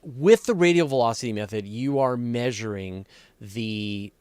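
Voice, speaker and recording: Guy hosting a science chat, mid-pitched voice, curious, working through it step by step, no room echo. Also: measured pace, darkish tone, clear, resonant tone. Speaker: interview host. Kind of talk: conversational science interview.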